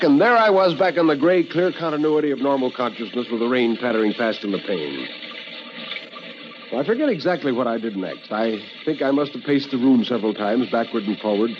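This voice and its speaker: A man's voice: mid-range voice, a little hiss or hum. Dramatic male narrator on dull old radio. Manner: theatrical, measured, intense.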